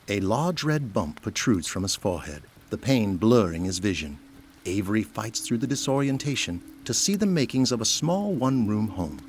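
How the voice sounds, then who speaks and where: A man's voice: on the low side, a little hiss or hum. A man reading scripted dramatic narration for an audio drama.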